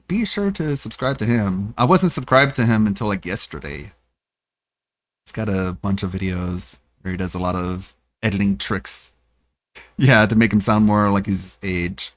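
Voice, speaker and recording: Sarcastic man, low-pitched. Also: no echo. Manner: sarcastic, mocking. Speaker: streamer. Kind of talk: casual reaction chat.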